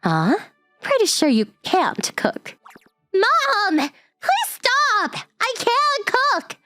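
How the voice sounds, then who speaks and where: A woman's voice: very high-pitched, huge pitch swings, sharp tone, no room sound, faint music behind, full character voice. A woman voicing a prickly, easily flustered young stepsister in a manga dub, with a huffy, defensive manner. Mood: huffy, embarrassed. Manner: huffy, defensive, slow-paced. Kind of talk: manga dub character acting.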